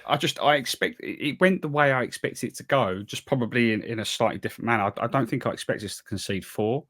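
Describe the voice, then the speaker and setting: A man's voice: mid-range voice, fast talker, a bit bright. A man co-hosting a football podcast, ranting with exasperation and stammering restarts. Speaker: podcast co-host. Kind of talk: casual football chat.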